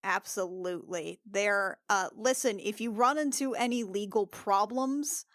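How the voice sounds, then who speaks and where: A woman improvises in-character dialogue as a player in a tabletop game. She is polite and hesitant, with a mid-range pitch and very dry, close sound. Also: unhurried, fairly bright tone, no echo.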